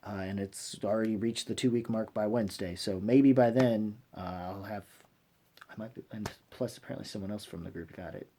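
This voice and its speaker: A man giving casual, rambling vlog commentary, haltingly repeating himself and trailing off mid-thought in informal language. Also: on the low side, dark tone. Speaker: vlogger hosting a fan channel. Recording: clearly noisy recording with clicks.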